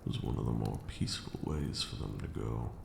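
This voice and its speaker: An abyssally deep, heavily creaking voice of an ancient male vampire lord, acted by a man, unspools a slow ASMR monologue. He is brooding and grandiose, cruel yet weary, with measured, hushed words echoing in a roomy space. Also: full character voice. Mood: grim, brooding. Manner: measured, grandiose, archaic phrasing. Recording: roomy echo, audible background noise.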